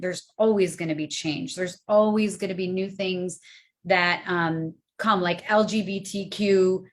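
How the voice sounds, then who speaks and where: A woman's voice: on the low side, fairly bright tone. A woman on a committee panel talking in a casual, reflective way. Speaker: committee member. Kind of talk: panel discussion.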